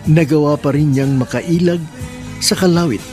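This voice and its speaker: A man narrates a romantic radio drama in a polite, formal host's manner, his voice a little high. Soft music runs behind him. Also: moderate pace. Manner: warm, formal, polite address.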